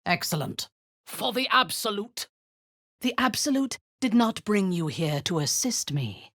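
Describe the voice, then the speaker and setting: An actress voicing a cold, imperious woman warrior-commander and zealous cultist in a video game, speaking in a low, husky, half-whispered menace. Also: very animated, measured pace, a bit bright, no echo, studio quality, exaggerated voice. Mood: commanding. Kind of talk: video game character acting.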